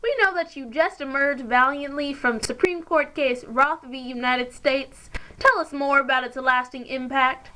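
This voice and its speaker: A woman's voice: sits high, measured pace, hardly any pauses, bright, forceful tone, rough recording. A young woman playing a showy, upbeat male talk show host in an amateur puppet show.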